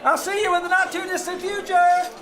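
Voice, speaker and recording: A woman's voice: very high-pitched, flat delivery, brisk. A woman voicing an eager, cheeky, naive teenage schoolboy in an old-time radio comedy. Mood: eager, excitable. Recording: live theater with audience noise.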